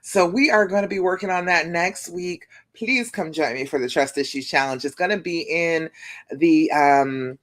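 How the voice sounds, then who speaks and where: A woman relationship coach with a deep voice, talking casually and reassuringly to viewers on a phone livestream, often checking in with "okay?". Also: normal speed. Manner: warm, chatty, reassuring, tag questions like "okay?". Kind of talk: casual live-stream coaching talk. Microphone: phone live stream.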